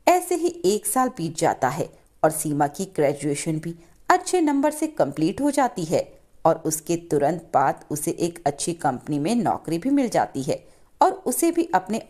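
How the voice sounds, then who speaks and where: A woman's voice: lowish voice, darkish tone. A woman narrating a scripted moral story as storyteller.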